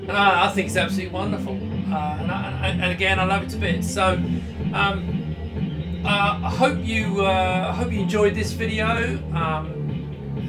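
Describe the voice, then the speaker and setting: A man, presenting a casual retro computing vlog. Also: sits high. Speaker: YouTube presenter and computer case maker. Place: indoor rooms with shelves of retro computers.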